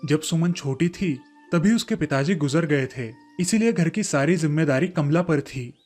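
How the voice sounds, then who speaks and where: Male storyteller, fairly high voice, scripted children's tale narration, faint music behind. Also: moderate pace. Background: soft background music.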